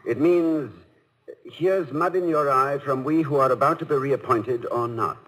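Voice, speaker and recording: An actor playing a dignified, dryly witty middle-aged college president in a 1950s radio comedy-drama, speaking formally and precisely. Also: male voice, medium pitch, a little slow, a bit bright. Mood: dry amusement. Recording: noisy old radio broadcast recording.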